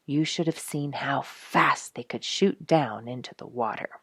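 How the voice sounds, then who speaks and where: A woman reading a children's storybook aloud in an expressive, emphatic manner. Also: very deep voice, a little slow, slightly rough, no echo. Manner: expressive, emphatic on sound words. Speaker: storybook reader and host.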